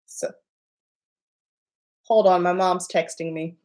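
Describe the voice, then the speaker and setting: A woman from the American South, a makeup artist chatting casually on a livestream, a little congested and nasal, emphatic and quick to laugh. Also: on the low side, steady tone, a little mellow.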